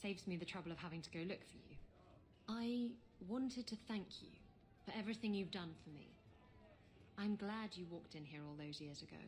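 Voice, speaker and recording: An actress playing a flirtatious, irreverent female seafaring adventurer in a video game, with a teasing, unhurried delivery. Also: medium pitch, a little mellow, close to an everyday voice. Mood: teasing gratitude. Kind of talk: video game dialogue acting.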